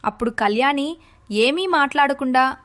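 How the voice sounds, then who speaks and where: A woman's voice: high voice, lively intonation, moderate pace, fairly bright tone. A woman narrating a scripted moral story for children.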